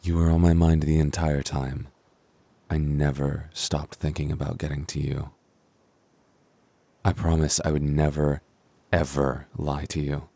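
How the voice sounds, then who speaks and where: Man acting as seductive incubus, dark mellow tone, dry close sound, gravelly, possessive, intimate ASMR roleplay. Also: extremely low pitch, level tone, dry recording, exaggerated voice. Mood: coaxing, devoted. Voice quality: gravelly, husky. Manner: slow, intimate, coaxing. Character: possessive seductive male incubus lover, sweet and menacing.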